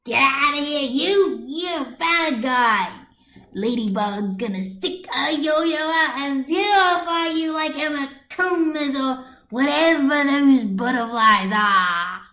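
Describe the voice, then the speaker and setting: A woman voicing a drunk male civilian heckler in an amateur story reading, taunting in drawn-out slang on a computer microphone. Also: sits high, sharp tone, roomy sound, pitched above the performer's own voice, exaggerated voice. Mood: jeering, drunk.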